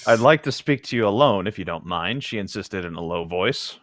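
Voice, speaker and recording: A man's voice: fairly low pitch, lively intonation, fairly quick, a bit bright, dry recording, clean studio sound. A man co-hosting a joking group read-along, moving between reading aloud and casual commentary. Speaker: podcast co-host reading a novel aloud.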